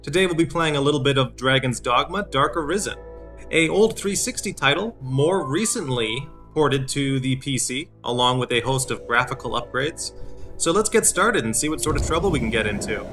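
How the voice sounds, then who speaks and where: Guy in his 30s explaining a video game, clear bright voice, bit halting, game music behind. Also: medium pitch, lively intonation. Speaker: video series host. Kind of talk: game walkthrough commentary.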